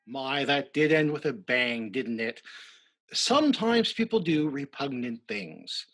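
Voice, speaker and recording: A man's voice: mid-range voice, big pitch swings, takes it slowly, fairly bright tone, exaggerated voice. A man playing a wry, arch host who narrates a radio play in old-time style.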